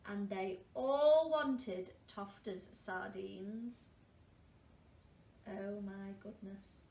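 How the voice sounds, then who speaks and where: A woman's voice: mid-range voice, extremely slow, vocal fry, a little mellow. A woman reading a story aloud to young children as a school storyteller, adding questioning asides to her listeners. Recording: roomy, distant mic, faint noise.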